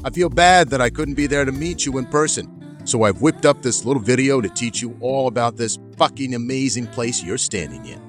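A man's voice: mid-range voice, fairly quick, soft background music, lightly acted. A man playing a brash, foul-mouthed tech company boss giving an orientation video in an audio drama, with breezy, slangy bro talk. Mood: chummy, hyped.

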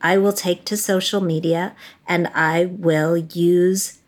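A woman hosting a personal finance podcast, talking in a brisk, plain-spoken way. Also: fairly low pitch, steady tone. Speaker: podcast host. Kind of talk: casual chat and read ads.

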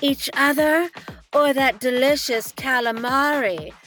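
A woman's voice: high-pitched, slow pace, fairly bright tone. A woman voicing a sly, teasing gossip narrator in an arch style for an audio comedy. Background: music.

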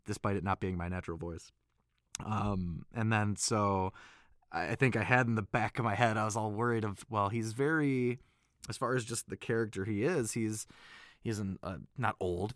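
A man with a fairly low voice chats casually as an interview guest. He rambles and halts as he thinks, often self-deprecating. The sound is close and dry. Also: fairly bright tone, no room sound. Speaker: voice actor, panel guest. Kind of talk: casual behind-the-scenes interview.

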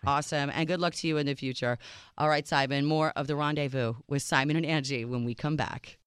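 Woman co-host, Serbian first language, chatty and quick, smooth and dry. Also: sits low, steady tone, no room sound. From Minneapolis, Minnesota. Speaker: radio show co-host. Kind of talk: casual radio talk.

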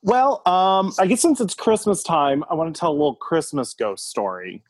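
A man's voice: on the high side, expressive, normal speed, no room sound. Man telling a personal story, dry sound.

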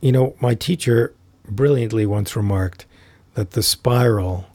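A middle-aged man guesting on a podcast, telling a reflective story in an unhurried, thoughtful way with many fillers and restarts. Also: fairly low pitch, a little mellow, no room sound. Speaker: podcast guest, tai chi teacher.

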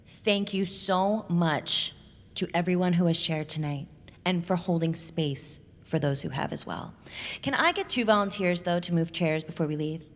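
An actress playing the friendly, encouraging leader of a support group. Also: female voice, lowish voice, moderate pace, natural voice.

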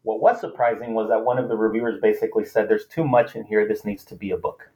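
A man's voice: fairly low pitch, quick pace, mellow, poor audio. A man from Los Angeles whose first language is Spanish, speaking as an author in a thoughtful academic interview, with frequent fillers and restarts. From Los Angeles, United States. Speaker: author, political scientist.

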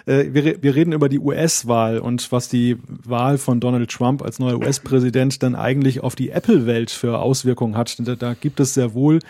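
Man from northern Germany, mellow husky voice, understated host, dry studio sound. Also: mid-range voice, steady tone, no room sound. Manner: measured, understated, moderating. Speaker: podcast host and webmaster. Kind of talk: casual tech podcast hosting.

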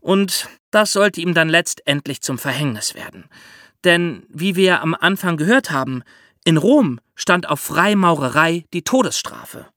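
A man's voice: a little high, expressive, a little slow, pushed and bright, dry recording, studio quality. A man hosting a podcast, telling history in a conversational storytelling style. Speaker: podcast host.

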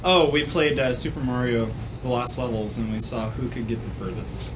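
Man, mid-pitched voice, casual recap, podcast guest, noisy live convention recording. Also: normal speed. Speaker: podcast guest, game tournament winner. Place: indoor convention recording before an audience. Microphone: shared podcast microphone. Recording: live convention room, clear background noise.

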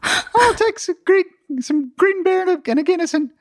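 A man voicing a mischievous evil male leprechaun with a put-on Irish accent and a very high, squeaky cartoonish voice. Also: animated, normal speed, dry recording, clean studio sound. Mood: gleeful.

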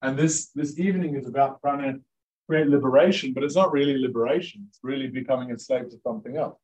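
A male rabbi speaking to a roomful of students in an easygoing, conversational way. His voice sits at a mid pitch, and his sentences are loose and scattered with fillers. He stops often to ask the audience questions. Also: quick pace, darkish tone, husky, low-quality recording. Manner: casual and chatty, many "like" fillers, questions to the audience. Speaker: rabbi teaching a class. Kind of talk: informal interactive lecture. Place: room with a live audience of students.

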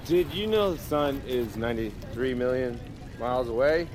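An actor playing a goofy, excitable male amateur bounty hunter in an audio drama, rambling and emotional. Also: medium pitch, moderate pace, noisy background, natural voice. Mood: dazed.

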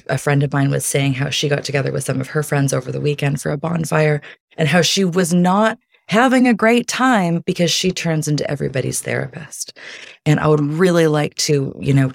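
Gravelly-voiced woman giving earnest advice. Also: low-pitched, no echo, studio quality. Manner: warm, earnest advice-giving with frequent laughs. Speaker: podcast guest, speaker. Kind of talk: casual interview chat.

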